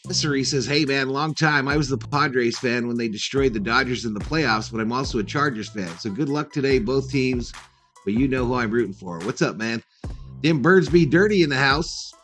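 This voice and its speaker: A man's voice: medium pitch, moderate pace, fairly bright tone, faint music behind. A man hosting a sports livestream, giving informal, chatty pregame commentary and talking directly to his viewers. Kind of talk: casual live pregame commentary.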